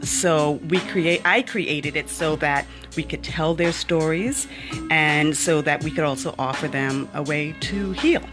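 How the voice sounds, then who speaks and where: A woman's voice: deep voice, a little slow, over music. A woman raised in the Bahamas, an author speaking as an interview guest, earnest and reflective with frequent ums. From born in Miami, raised in the Bahamas. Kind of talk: personal interview conversation.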